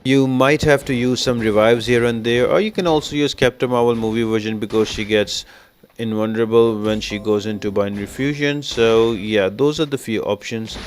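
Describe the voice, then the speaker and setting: A man's voice: mid-range voice, steady tone, moderate pace, a little hiss or hum. A man giving a casual game walkthrough, explaining tactics step by step to viewers. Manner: explanatory, addresses viewers as guys. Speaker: game guide host. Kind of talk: casual gameplay walkthrough commentary.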